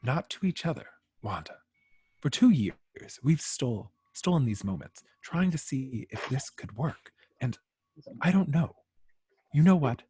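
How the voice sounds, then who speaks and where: Man playing a gentle, very husky android. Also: medium pitch, big pitch swings, measured pace, no room sound, full character voice. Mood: tender, hesitant. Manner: hesitant, earnest. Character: gentle, lovestruck, troubled male android hero. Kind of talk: amateur table read of a film script.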